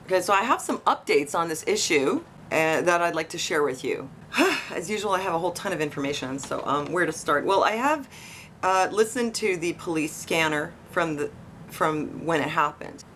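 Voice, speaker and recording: A woman's voice: lowish voice, expressive, moderate pace, a bit bright. A woman giving informal investigative commentary to online viewers in a conversational, questioning way. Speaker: online video host. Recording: noisy, buzzing tree-cutting outside. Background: buzzing from tree cutting outdoors.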